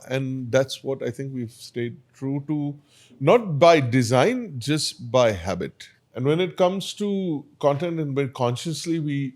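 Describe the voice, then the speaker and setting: A middle-aged man chatting as a podcast guest in a restaurant kitchen, animated and joking, swearing freely. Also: mid-range voice. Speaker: podcast guest, food show creator. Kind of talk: casual chat.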